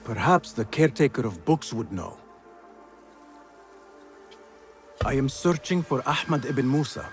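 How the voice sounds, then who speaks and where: An actor playing an earnest, determined young assassin in a game cutscene. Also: male voice, mid-range voice, expressive, fairly quick, faint music behind, lightly acted. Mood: firm, respectful.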